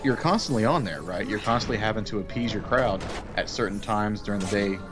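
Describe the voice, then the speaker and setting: A man's voice: on the low side, brisk. A man chatting casually while playing a co-op game, easygoing and chatty with lots of fillers. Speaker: co-op gaming guest. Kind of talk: casual chat over gameplay. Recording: music playing behind.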